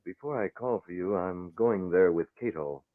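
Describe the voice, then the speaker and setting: A man acting a brisk, commanding masked vigilante who runs a newspaper, in vintage radio-drama style. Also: low-pitched, lightly acted. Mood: brisk, businesslike. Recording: muffled phone-like old radio recording.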